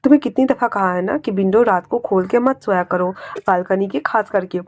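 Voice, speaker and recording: A woman playing a firm, caring older man who lowers her voice to scold and soothe. Close and dry, told as a story. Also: fairly quick, a little mellow, no echo, highs cut off, natural voice. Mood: stern, soothing. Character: protective older man, stern but caring.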